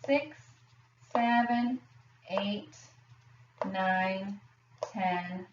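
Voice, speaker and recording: A woman's voice: medium pitch, very slow. A woman teaching young children math, giving simple step-by-step instructions and questions and counting aloud. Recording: roomy, distant, muffled, faint noise.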